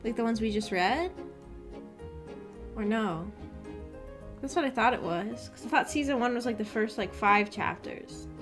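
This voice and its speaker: A woman's voice: fairly high pitch, lively intonation, unhurried, pauses often, over music. A woman streamer chatting casually and enthusiastically with her viewers, with informal phrasing full of "like". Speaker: streamer hosting a visual novel book club. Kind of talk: casual livestream chat with viewers.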